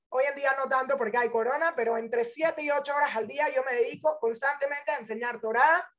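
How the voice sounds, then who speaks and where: A woman, teaching through personal stories in an informal religious talk, dropping in English phrases. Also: sits high. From born in Venezuela, grew up in Panama. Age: 30s. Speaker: Torah teacher. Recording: dull lo-fi audio.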